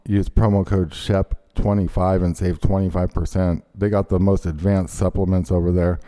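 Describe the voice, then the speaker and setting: A low-voiced man hosting a podcast, with a mellow, unforced tone. He rambles through casual stories, stopping and restarting with lots of ums. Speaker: podcast host. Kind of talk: casual chat and ad read.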